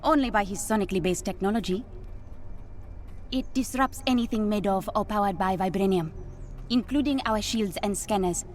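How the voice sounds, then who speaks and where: A woman's voice: mid-range voice, normal speed, natural voice. A woman playing a clever young princess and scientist in a video game, with an African accent and a precise, self-assured delivery. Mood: confident. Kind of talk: video game cinematic acting.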